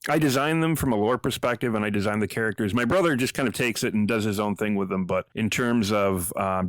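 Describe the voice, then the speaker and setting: A man with a lowish voice, recorded dry, talking as a podcast guest. He is informal and self-effacing, rambling along in a conversational way. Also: no echo. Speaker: podcast guest, audio drama writer. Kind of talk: casual conversational interview.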